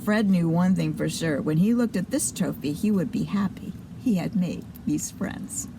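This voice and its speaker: A woman's voice: on the low side, normal speed, creaky, background noise. A woman hosting a children's show and telling a story, with chatty asides to her listeners.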